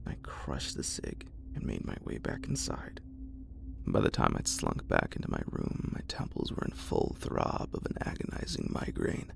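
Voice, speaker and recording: A man reading a horror story in a breathy ASMR whisper, in a deliberate, literary storytelling manner. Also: very deep voice, animated.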